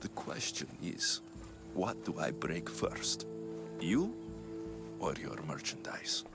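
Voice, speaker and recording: A man's voice: low voice, very animated, slow, vocal fry, loud music behind the voice, heavily acted. A man playing a tough young mercenary in an ancient Greek video game, dry and menacing. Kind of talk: dramatic video game acting.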